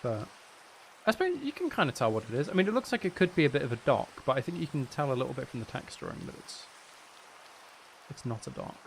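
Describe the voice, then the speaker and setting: A man's voice: medium pitch, sing-song, quick pace, vocal fry, slight background noise. A man chatting casually on a live game stream in a rambling, self-deprecating way. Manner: mildly sweary. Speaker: game streamer and audio creator.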